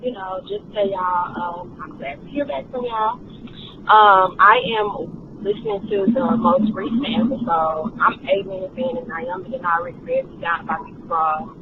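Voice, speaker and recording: A woman's voice: mid-range voice, huge pitch swings, normal speed, creaky. A woman leaving a rambling, candid voicemail with plenty of fillers. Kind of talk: voicemail message. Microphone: phone. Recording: tinny phone line with road noise.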